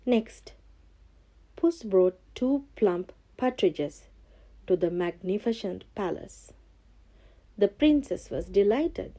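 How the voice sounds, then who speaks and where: A woman reading a scripted children's storybook as narrator, expressive and carefully phrased. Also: medium pitch, slow pace, long pauses, poor audio.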